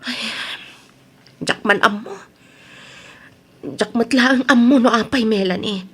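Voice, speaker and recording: A woman acting a hurt, sharp-tongued grown daughter who holds a grudge, in an Ilocano radio drama. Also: fairly high pitch, a little slow, breathy edge. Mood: hurt, subdued.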